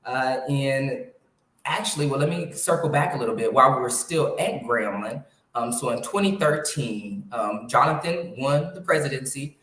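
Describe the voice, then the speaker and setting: A man in his thirties, a guest telling a story in a relaxed, chatty way. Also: medium pitch, normal speed. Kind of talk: casual interview storytelling. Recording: echoey room.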